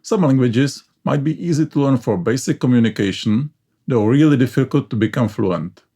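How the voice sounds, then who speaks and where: A man's voice: mid-range voice, normal speed, warm tone, slightly rough. Man narrating. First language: Czech. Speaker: educational channel host. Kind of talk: scripted explainer narration.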